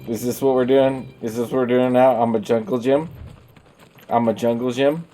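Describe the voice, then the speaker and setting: A man's voice: mid-range voice, steady tone, normal speed, warm tone, faint music behind. A man casually joking and rambling while streaming a game. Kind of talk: casual gameplay commentary.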